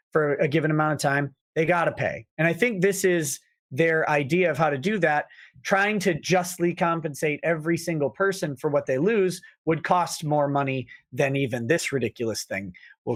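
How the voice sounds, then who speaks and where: Dude explaining stuff on a chill livestream, voice a bit on the high side, restarts sentences. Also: male voice, fairly bright tone. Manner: explains in a measured way, with quick restarts. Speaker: co-host. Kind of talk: casual livestream chat.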